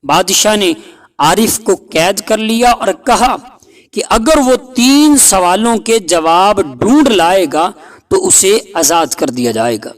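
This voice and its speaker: A man narrating a scripted folk tale in a deliberate, dramatic storytelling manner. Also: high voice, expressive.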